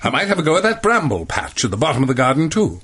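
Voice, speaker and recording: A man voicing a brisk, bossy wife in a comic storybook. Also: a little high, sing-song, a bit bright, slightly rough, voice pushed up, full character voice. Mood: brisk, reassuring.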